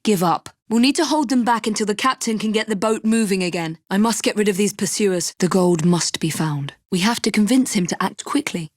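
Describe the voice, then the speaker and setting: Game casting: actress as female Victorian assassin, young, English, poised and crisp, resolute temper, close dry recording. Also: mid-range voice, a little fast, hardly any pauses, no echo, natural voice. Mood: firm, brisk, then hushed. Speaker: video game voice actor. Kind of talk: scripted game character dialogue.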